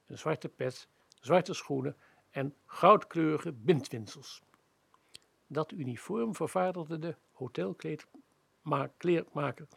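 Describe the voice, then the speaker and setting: Male narrator for scripted first-person audio fiction, raspy with frequent vocal fry. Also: a little high, dry recording. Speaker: radio play narrator.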